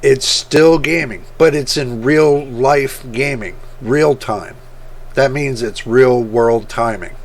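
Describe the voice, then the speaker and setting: A man hosting his computer-building channel, talking casually and rambling, with a rough voice that repeats points as he goes. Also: medium pitch. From United States. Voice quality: rough, gravelly voice. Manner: slow, rambling, repeats himself. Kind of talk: casual talk to camera.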